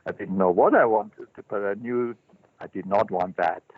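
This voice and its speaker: An older man, a Zen teacher telling his life story as an interview guest, halting and thoughtful with many restarts. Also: lowish voice, slightly rough, no room sound. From Switzerland. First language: German. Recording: dry, tinny phone-line sound.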